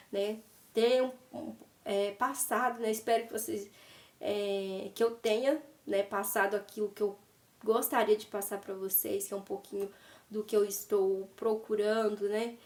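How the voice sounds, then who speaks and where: A woman's voice: medium pitch, a little mellow. Brazilian woman vlogging from home, little stuffy from a cold, echoey room, mic kinda far off. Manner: chatty, tags sentences with "né?" and addresses viewers as "gente". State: slightly congested from a cold. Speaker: fashion and lifestyle vlogger. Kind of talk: casual chat to viewers. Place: at home by her wardrobe. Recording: roomy, distant from the mic.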